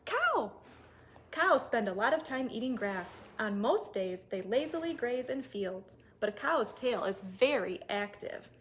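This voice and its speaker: Lady doing toddler story time, highish voice, sing-song and animated, some noise behind her. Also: measured pace. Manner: sing-song, asks listeners questions. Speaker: children's librarian leading story time. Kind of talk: animated read-aloud for toddlers.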